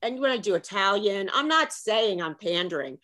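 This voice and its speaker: A middle-aged woman chatting as a guest, animated and talkative, her voice sitting at an ordinary middle pitch. She rattles along casually and drops Italian phrases into her English. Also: normal speed. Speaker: author guest. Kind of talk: casual video chat.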